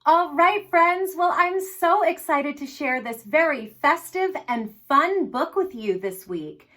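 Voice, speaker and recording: A woman hosting story time for young children, speaking in an animated, encouraging way straight to her little listeners. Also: high-pitched, slow pace. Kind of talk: reading and singing aloud to young children.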